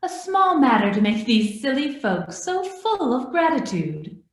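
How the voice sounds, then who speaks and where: Woman as probing, high-voiced echoing spirit. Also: big pitch swings, a little slow. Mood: challenging. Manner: questioning, pointed. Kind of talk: amateur radio play acting. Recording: roomy, echoing sound.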